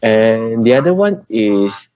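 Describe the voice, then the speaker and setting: A man with a Malaysian accent giving an update in an informal work meeting on a video call, in halting non-native English. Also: on the low side, big pitch swings. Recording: dull, noisy video call with clicks.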